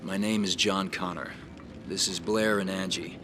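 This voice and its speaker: An actor playing a grave, determined resistance soldier with a terse, resolute manner in a video game. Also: male voice, low-pitched, fairly even intonation, normal speed, noisy background, close to an everyday voice. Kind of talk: video game cutscene acting.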